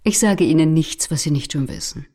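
A woman's voice: sits low, fairly quick, mellow. An actress playing a flirtatious widow in her late thirties in a radio drama, knowing and suggestive. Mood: coy, knowing.

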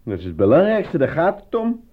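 An actor playing a frustrated, embittered film actor and defensive husband in a theatrical, exasperated radio drama style. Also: male voice, a little high, wildly swooping pitch, fairly quick. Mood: irritated, defensive. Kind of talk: Dutch radio drama acting. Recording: muffled old radio play recording.

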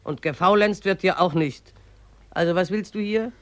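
An actress in a radio play voicing the river itself as a gruff, mocking old woman who scolds in an earthy, derisive way. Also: on the low side, moderate pace, dry recording, close to an everyday voice. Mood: scornful. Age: older.

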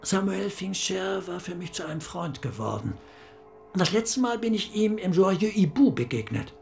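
An actor playing a reflective, scholarly brain expert in a German radio drama, speaking in a musing way. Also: male voice, sits high, big pitch swings, moderate pace, vocal fry, a little mellow, exaggerated voice. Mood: musing, confiding.

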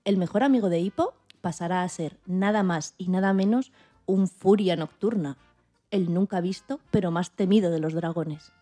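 Female podcast narrator, fairly low and soft-toned, scripted storytelling, light music underneath. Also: moderate pace. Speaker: podcast host.

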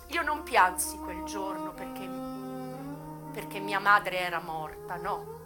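A woman's voice: medium pitch, sing-song. An actress playing an American brothel madam who recalls her hard youth in a bitter, frank, emotive theatrical monologue for radio. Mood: grieving, bitter. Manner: emotive, deliberate phrasing with sharp swings from hushed to loud. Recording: voice over music. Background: music.

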